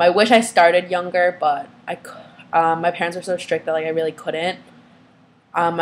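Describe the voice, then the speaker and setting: A young woman whose first language is Vietnamese speaks casually to her camera in a somewhat low voice. She hesitates often, filling gaps with small fillers and restarting phrases. A faint background hiss sits under her voice. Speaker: vlogger.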